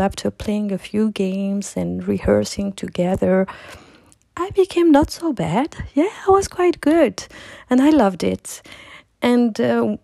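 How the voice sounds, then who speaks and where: A woman's voice: mid-range voice, vocal fry, dark tone, dry recording. A middle-aged woman from France with a French accent, hosting a podcast and telling her own story in a reflective, conversational way with frequent restarts. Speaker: podcast host. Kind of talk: personal storytelling monologue.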